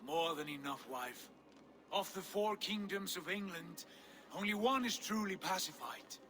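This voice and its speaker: Casting: proud young Viking prince, high bright voice, formal oratory, game drama. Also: male voice, lively intonation, unhurried, heavily acted. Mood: firm. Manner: formal, declamatory speech. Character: proud, ambitious Viking prince rallying his clan. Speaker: clan leader. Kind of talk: dramatic game cutscene acting.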